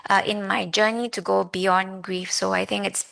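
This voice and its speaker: Creaky-voiced Malaysian woman, reflective speaker. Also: medium pitch, flat delivery, fairly bright tone. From Malaysia, grew up in Kuala Lumpur. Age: 30s. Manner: reflective, halting, conversational. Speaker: lay Buddhist speaker. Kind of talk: personal Dhamma talk to an audience.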